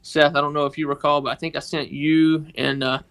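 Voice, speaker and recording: A man with a Mississippi accent, telling a personal story on a podcast in a halting, earnest way. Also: fairly high pitch, level tone, vocal fry, a bit bright, smooth. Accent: Southern US, Mississippi. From northeast Mississippi, United States. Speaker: podcast guest, organization member telling his story.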